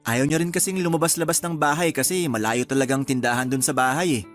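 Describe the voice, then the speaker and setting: A man's voice: medium pitch, fairly even intonation, quick pace, soft background music, lightly acted. A man playing a hardworking, loving young husband in a Filipino radio drama, affectionate and easygoing. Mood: warm, hopeful.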